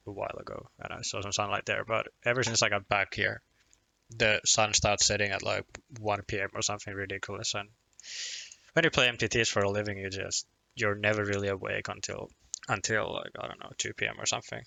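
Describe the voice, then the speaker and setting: A man's voice: lowish voice, little pitch movement, a little fast, vocal fry, pushed and bright, dry recording. A young man from Finland with a Finnish accent and Finnish as his first language, chatting casually on a poker live stream, rambling and self-deprecating with lots of restarts and chuckles.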